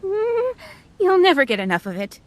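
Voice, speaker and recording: A woman's voice: very high voice, wildly swooping pitch, normal speed, slight background noise, exaggerated voice. A woman voicing a polite young female server at an inn, describing dishes to customers in a video game. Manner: polite, attentive, descriptive sales patter.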